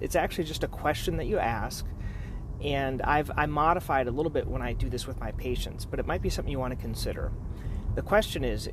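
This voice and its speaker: A man with a medium-pitched voice talks informally, explaining practice tips to fellow professionals. He is conversational and repeats points for emphasis. Clear background noise, like outdoors or traffic, runs behind him. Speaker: podiatrist giving practice advice.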